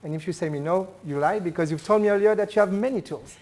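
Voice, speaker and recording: A man's voice: sits high, fast, darkish tone. Man, French accent, business event talk, chatty, asks the crowd questions. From France. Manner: engaging, polls the audience with questions; conversational, frequent "uh" and "right?". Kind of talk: conference talk to a live audience. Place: event venue with an audience.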